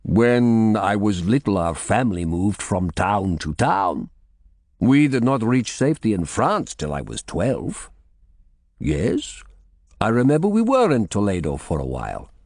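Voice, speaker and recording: A man voicing a gentle, grave monk in an audiobook, with a measured manner. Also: fairly low pitch, big pitch swings, no echo, clean studio sound, heavily acted. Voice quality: lisping. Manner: slow, soft, measured. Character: gentle, grave foreign monk with dark face.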